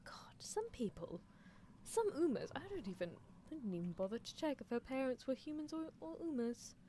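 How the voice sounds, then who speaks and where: A woman with a fairly high voice talks casually to her livestream viewers, improvising as she goes. There is a little noise behind her. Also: sing-song, normal speed, mellow. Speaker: livestream roleplay performer.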